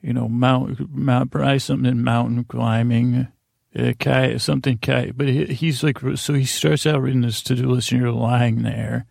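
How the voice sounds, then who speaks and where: A man with a gravelly, relaxed voice rambles through a bedtime podcast monologue. He stammers and doubles back on himself, self-deprecating as he goes. The sound is close and dry, with no room on it. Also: medium pitch, no echo. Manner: meandering, halting, stammering, self-interrupting. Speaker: podcast host.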